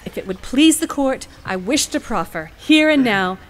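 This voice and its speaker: An actress playing a determined, eloquent female lawyer in a courtroom audio drama, with a formal, theatrical and deliberate delivery. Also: fairly high pitch, expressive, natural voice. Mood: firm, formal. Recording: music behind the voice.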